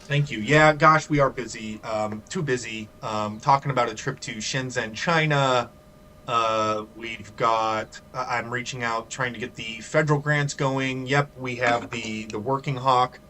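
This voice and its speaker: A man co-hosting a podcast over a video call, chatting casually and trading quick jokes. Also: medium pitch, fairly even intonation, bright, forceful tone, slight background noise. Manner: joking, quick banter. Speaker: podcast co-host. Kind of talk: casual chat.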